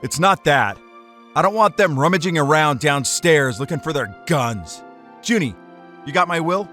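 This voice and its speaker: A man's voice: on the high side, animated, moderate pace, sharp tone, soft background music. A man playing a tough, wisecracking gangster in a 1940s noir radio drama, with slangy hard-boiled delivery. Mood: defiant. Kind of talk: noir detective radio drama acting and narration.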